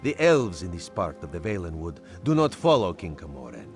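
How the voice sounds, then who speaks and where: An actor playing a sly, genial cat-folk agent in a video game, speaking in a measured, theatrical way. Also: male voice, deep voice, wildly swooping pitch, soft background music, exaggerated voice. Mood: genial, intrigued. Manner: speaks of himself in third person, measured and theatrical. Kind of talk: video game character dialogue.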